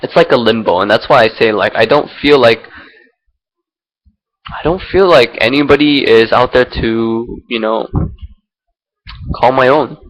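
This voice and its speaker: A young man from Singapore thinks out loud into his laptop's built-in mic. He talks without a script, in a rambling, hesitant way, circling back with fillers and restarts. His voice sits at an ordinary middle pitch for a man. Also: unhurried, pauses often, dull audio. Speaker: vlogger. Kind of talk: unscripted personal reflection.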